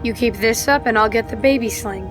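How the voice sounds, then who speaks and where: A woman's voice: a little high, fairly quick, over music, lightly acted. A woman playing a casual giantess goddess in an audio drama, offhand and lightly scolding like a minder. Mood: mildly scolding. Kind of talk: audio drama character acting.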